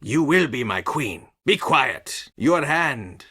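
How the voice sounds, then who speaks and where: Casting: grandiose mythic ruler of the dead, forceful bright voice, threatening, for a game. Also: male voice, medium pitch, sing-song, slow pace, slight background noise, heavily acted. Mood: commanding. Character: imperious, menacing king of the underworld, easily enraged. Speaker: actor performing game voice lines. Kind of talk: theatrical mythic stage drama acting.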